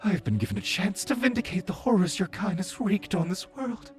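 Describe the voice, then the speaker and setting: A man playing a mad, zealous villain, a servant of a moon goddess, preaching in a grandiose, drawn-out way in a fantasy audio roleplay. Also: high voice, very animated, soft background music. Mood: fervent accusation.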